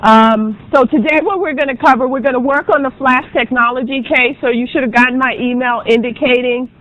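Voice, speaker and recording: A woman professor giving a classroom lecture in a loud, brisk, chatty way, with frequent ums. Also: sits high, fairly even intonation. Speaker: auditing professor. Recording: dull lo-fi lecture recording.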